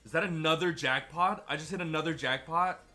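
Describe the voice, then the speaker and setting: A man streaming a game, chatty and excitable, sounding a bit stuffy from a cold. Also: on the high side, a little fast, soft background music. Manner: chatty, excitable over wins. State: stuffy, slightly sick. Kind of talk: gameplay commentary.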